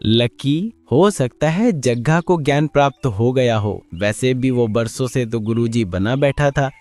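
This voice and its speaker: A man acts an earnest cartoon animal follower, trusting and plain-spoken. His voice is middling in pitch and deferential, in the manner of a moral story for children. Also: animated, measured pace, a little mellow, lightly acted. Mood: pondering. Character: respectful young male animal disciple, plain-spoken and trusting. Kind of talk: Hindi cartoon story acting.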